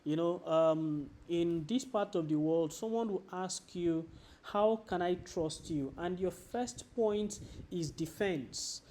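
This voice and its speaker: A man's voice: sits high, slow pace, mellow. Nigerian man teaching as a sales trainer. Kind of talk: training presentation to a class.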